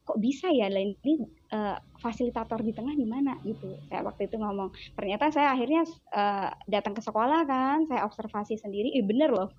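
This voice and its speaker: A woman with a Javanese accent tells a story in a relaxed interview chat, and she slips into her child's voice as she talks. Her voice sits a bit high. The recording sounds dull and lo-fi. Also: fairly quick, a little hiss or hum. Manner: animated storyteller who acts out her child's words. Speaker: child psychologist guest.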